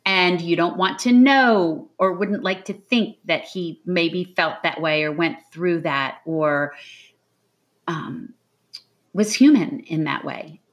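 Woman speaking earnestly, slightly low voice. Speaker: novelist being interviewed. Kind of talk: reflective interview conversation.

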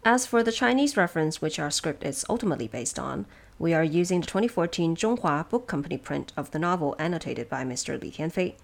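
A woman with a low voice hosts an explanatory segment for an audio series. She speaks from prepared notes in a relaxed, chat-like style, addressing listeners directly and setting out each point in a measured way. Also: creaky. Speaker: podcast host and narrator of production notes.

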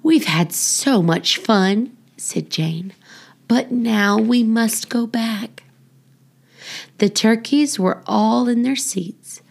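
A woman with a creaky voice, hosting and reading for a children's storytime podcast. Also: on the high side, very slow, a little mellow. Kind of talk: read-aloud children's story with casual intro and outro.

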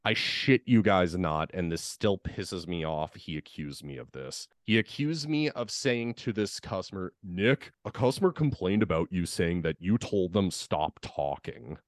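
Deep-voiced young guy ranting bout an old job, swears a lot, dry mic. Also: animated, measured pace, few pauses, dry recording. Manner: vents with frequent swearing. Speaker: podcast co-host. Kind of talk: casual storytelling.

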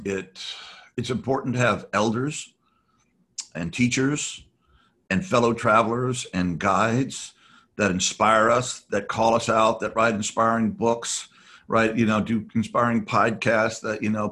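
Man, lowish pitch, reflective, rambling, conversational. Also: a bit bright. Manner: rambling, earnest, frequent fillers and restarts. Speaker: podcast guest.

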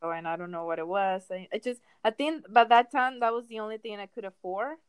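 A woman's voice: mid-range voice, fairly quick. A young woman from Venezuela, a native Spanish speaker with a Venezuelan accent, co-hosting a podcast and teasingly steering the casual chat. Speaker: podcast co-host.

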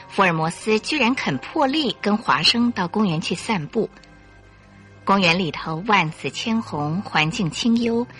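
A woman narrator telling a scripted radio drama story with expressive delivery. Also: mid-range voice.

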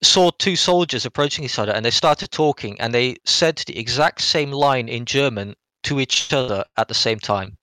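A man co-hosting a podcast, casually reviewing a game in a halting, rambling way with frequent hedging and rephrasing. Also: mid-range voice, sharp tone, no echo, rough recording. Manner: hesitant, halting delivery, often hedging and rephrasing.

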